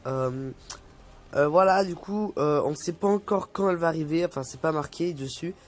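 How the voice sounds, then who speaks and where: A man's voice: fairly high pitch, moderate pace. A young man vlogging casually, reading an article aloud and stumbling over words, with many fillers. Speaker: youtuber.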